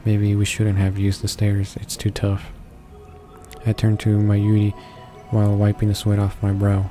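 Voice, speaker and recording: A man hosting a game read-along, narrating the text aloud in a halting way and often correcting his own stumbles. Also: lowish voice, monotone, mellow, soft background music. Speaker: video game walkthrough host reading aloud. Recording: noisy home recording with constant background hiss.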